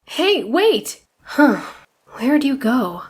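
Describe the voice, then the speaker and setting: A woman's voice: high-pitched, sing-song, very slow, husky, full character voice. A woman voicing a tough, sardonic young female ninja turtle in a comic dub, speaking in a dry, casual way.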